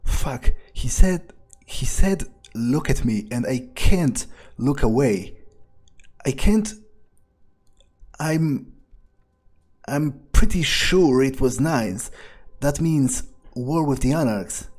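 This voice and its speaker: Man role-playing a slow, husky vampire underling. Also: mid-range voice, expressive, a little mellow. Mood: shaken. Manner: halting, muttered, swearing. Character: cynical, foul-mouthed, hesitant male vampire underling. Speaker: player role-playing his game character. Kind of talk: improvised in-character game playthrough.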